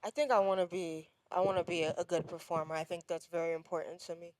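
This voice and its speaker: A young woman, a singer and songwriter, answering interview questions on a podcast in a casual, halting way, often restarting her sentences. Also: on the low side, level tone, slight background noise. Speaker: guest musician.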